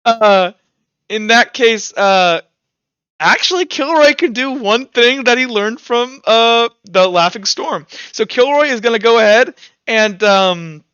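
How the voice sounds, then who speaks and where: A man speaking as game master, narrating a tabletop roleplaying game in an informal, halting, animated way. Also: very high voice, smooth, no room sound, muffled recording.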